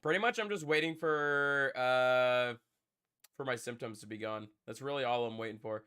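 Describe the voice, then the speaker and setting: A man's voice: medium pitch, normal speed, pushed and bright, smooth. A young man with a congested voice, chatting casually with his live-stream viewers and rambling with repeats. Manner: rambling, repeats himself, talks to chat. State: congested from a cold.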